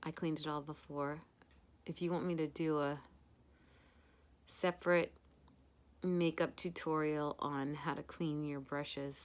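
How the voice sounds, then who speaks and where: A woman's voice: low voice, vocal fry, a bit bright. A woman hosting a casual at-home makeup tutorial, chatty and rambling with many fillers.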